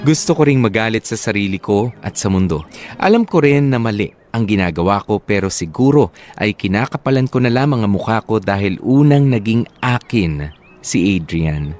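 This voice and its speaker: A man with a Filipino accent narrating a love letter as a dramatic radio-style story. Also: lowish voice. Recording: soft music behind the voice.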